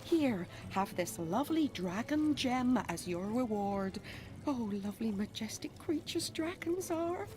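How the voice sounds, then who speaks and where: A woman's voice: a little high, animated, unhurried, over music. A woman playing a gushing, genteel, easily flustered admirer in a video game, with a fluttery theatrical manner. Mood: flustered delight. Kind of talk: video game character acting.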